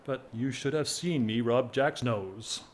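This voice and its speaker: An actor playing a whimsical, lovesick English gentleman of forty-one in a period audio drama, wry, measured and self-mocking. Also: mid-range voice, lightly acted.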